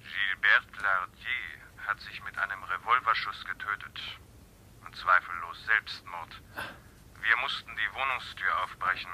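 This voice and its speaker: A man's voice: fairly low pitch, a little slow, sharp tone, a little hiss or hum, poor audio. A man playing a formal, matter-of-fact police inspector in a radio thriller, heard through a telephone filter. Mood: sober, official. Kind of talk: German radio thriller acting.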